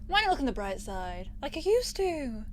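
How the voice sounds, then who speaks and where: A woman's voice: high-pitched, sing-song, normal speed, noisy background. A woman playing a possessive, smug rich young captor in an ASMR roleplay, teasing and condescending with a sweet menace. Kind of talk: ASMR roleplay audio drama.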